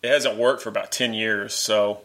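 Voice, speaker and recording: A man's voice: fairly low pitch, fairly even intonation, normal speed, fairly bright tone. A man hosting a podcast, interviewing a friend in a friendly, easygoing way. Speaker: podcast host. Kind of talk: casual interview chat.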